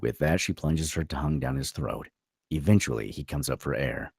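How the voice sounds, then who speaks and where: A man's voice: extremely low pitch, moderate pace, gravelly, darkish tone, slightly rough, dry recording, clean studio sound. A man reading out screenplay stage directions as the narrator of a table read.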